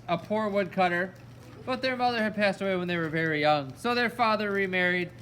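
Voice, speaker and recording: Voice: mid-range voice, a little fast, slight background noise, rough recording. A storyteller narrating a fairy tale for a children's puppet show. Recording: loud music alongside the voice. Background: music.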